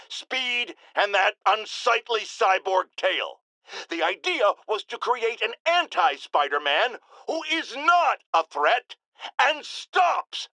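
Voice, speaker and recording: A bombastic older American radio host, high and forceful, with wildly swinging pitch. He rants theatrically in a video game, pompous, paranoid and forever indignant, stressing his words like proclamations. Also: gravelly, dry recording, exaggerated voice. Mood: defensive outrage. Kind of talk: theatrical radio talk show rant.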